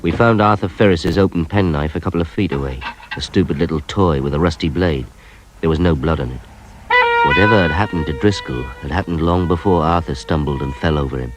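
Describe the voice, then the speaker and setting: An actor playing a hard-nosed, cunning police inspector in a radio drama, terse and sardonic, with an English accent. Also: male voice, extremely low pitch, fairly quick, faint music behind. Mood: sober, measured. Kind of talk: radio drama acting and first-person narration.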